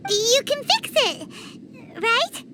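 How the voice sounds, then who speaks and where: A woman voicing a tiny, childlike, excitable and cheeky fairy companion in a video game, squeaky and exclamatory. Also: takes it slowly, background noise, heavily acted. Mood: curious, eager. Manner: exclamatory, chirpy, exaggerated reactions. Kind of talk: English video game voice acting.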